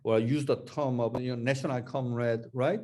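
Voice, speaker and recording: Halting man talking through an academic Q&A. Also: medium pitch, steady tone, a little mellow. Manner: halting, repeats words, many restarts. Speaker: lecturer answering questions. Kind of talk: academic Q&A discussion. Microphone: video call.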